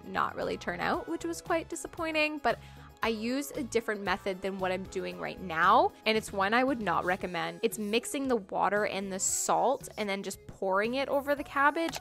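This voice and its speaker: A woman's voice: medium pitch, a bit bright. A young woman casually narrating her home cooking in a chatty, self-deprecating, upbeat way. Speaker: cooking vlogger. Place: home kitchen.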